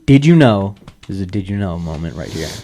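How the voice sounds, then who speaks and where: A man's voice: deep voice, animated, fairly quick, dark tone. A man, hosting a radio interview in a casual, chatty way. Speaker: radio show host interviewing guests. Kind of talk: casual chat.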